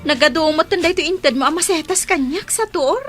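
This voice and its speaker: A woman's voice: sits high, brisk, faint music behind, close to an everyday voice. A woman playing a coy, polite young single Filipino woman being courted in a radio drama. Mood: coy, surprised.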